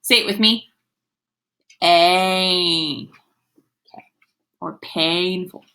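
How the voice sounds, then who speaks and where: A woman's voice: on the low side, drawn-out words, pushed and bright. A woman teacher reading aloud to young children, explaining as she goes in an instructive way and sounding out words. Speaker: elementary school teacher.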